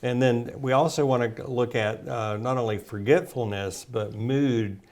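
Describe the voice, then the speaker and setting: A man's voice: mid-range voice, steady tone, normal speed, darkish tone. A man speaking as a naturopath guest, explaining at length with many fillers and restarts. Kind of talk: casual health talk.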